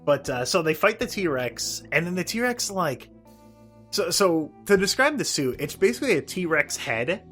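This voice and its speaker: A man co-hosting a podcast, recapping in a casual, halting, profane and sardonic way. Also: fairly high pitch, sing-song, a bit bright, faint music behind. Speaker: podcast co-host. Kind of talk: casual episode recap chat.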